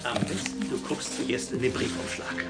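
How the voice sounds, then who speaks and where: A man's voice: mid-range voice, big pitch swings, moderate pace, over music, close to an everyday voice. A man playing a cheerful, teasing and encouraging father of a young boy in a German radio play.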